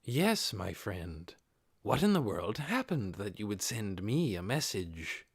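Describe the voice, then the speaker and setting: A man voicing a calm, courteous demon in an audiobook, smooth and suave, with measured delivery. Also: fairly low pitch, no room sound, studio quality, voice pushed down, exaggerated voice. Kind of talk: audiobook character voice.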